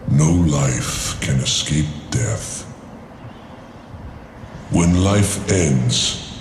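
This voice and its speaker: An actor playing an ancient, weary dragon seer in a fantasy game, speaking solemnly in measured, archaic phrases. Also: male voice, a bass voice, reverberant, a little hiss or hum, full character voice.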